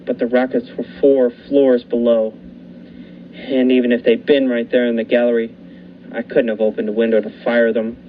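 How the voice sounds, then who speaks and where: A man playing a haunted lighthouse keeper who narrates a radio thriller with dramatic, deliberate pauses. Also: medium pitch, little pitch movement, natural voice. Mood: grim, tense. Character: haunted lighthouse keeper recounting his ordeal. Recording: muffled lo-fi recording with faint noise.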